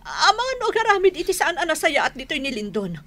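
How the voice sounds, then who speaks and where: A suspicious, worried female helper with a high, very animated voice. She is deferential, has an Ilocano accent and acts in radio drama style. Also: fast, exaggerated voice. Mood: worried, urgent.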